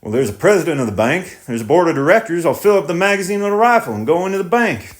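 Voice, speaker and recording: A man's voice: a little high, very animated, pitched above the performer's own voice. Stubborn tenant farmer, pondering, plain rural talk; male narrator voicing him. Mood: defiant. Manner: slow, pondering, plain-spoken.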